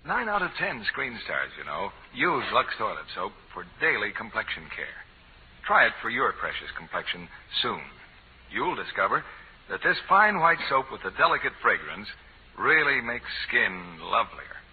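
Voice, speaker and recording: A man delivers vintage radio announcements and sales copy. His voice is very husky and highly animated. The sound is narrow-band, with hall reverb. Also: moderate pace. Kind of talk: 1940s scripted commercial announcing.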